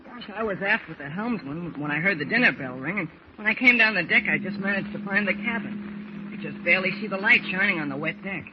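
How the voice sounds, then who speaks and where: An earnest teenage boy in a vintage radio drama. The voice is high and boyish, polite and exclamatory with an anxious edge, on a dull, noisy old recording. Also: lively intonation, a little fast. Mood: eager, admiring. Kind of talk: 1940s radio acting.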